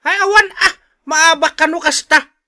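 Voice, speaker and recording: A man's voice: very high voice, normal speed, bright, forceful tone, exaggerated voice. An actor with a Filipino accent playing an exasperated older father who gives blunt, scolding advice in a radio drama.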